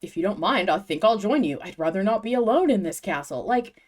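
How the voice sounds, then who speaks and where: A woman's voice: mid-range voice, animated, fairly quick, darkish tone. Woman podcast host, chatting casually like a big fan, really emphatic and gushy, swears a bit.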